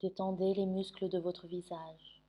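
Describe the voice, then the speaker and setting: A woman guiding a sleep meditation in French, giving soothing, gentle instructions with long pauses. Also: fairly low pitch, flat delivery. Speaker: yoga nidra meditation guide.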